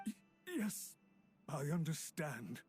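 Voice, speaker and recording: Higher-pitched voice, nervous subservient official, pleading, formal, deferential, video game, faint music. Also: male voice, very animated, slow, a little mellow. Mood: anxious, pleading. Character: anxious, servile male official begging for mercy. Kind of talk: scripted video game voice acting.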